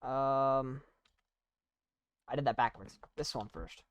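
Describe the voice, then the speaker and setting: A young adult chatting casually while playing and commentating on a video game, rambling in a halting, self-deprecating way. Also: mid-range voice, clean tone. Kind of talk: casual gaming commentary and chat.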